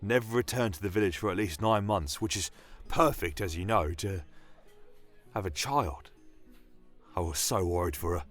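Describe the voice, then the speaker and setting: A man's voice: low-pitched, moderate pace, soft background music, natural voice. Man acting a wistful, lovelorn village barkeep. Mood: wistful, worried.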